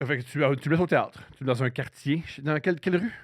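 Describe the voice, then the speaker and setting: A male comedian with a Québécois French accent, excitedly telling an anecdote in a casual podcast chat. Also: fairly high pitch, quick pace, dry recording, studio quality. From Quebec. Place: recording studio.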